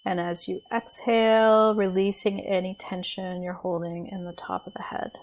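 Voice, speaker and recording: A woman leading a guided body scan meditation as a teacher, speaking in a gentle, soothing, unhurried way with pauses. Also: mid-range voice, level tone, low-quality recording.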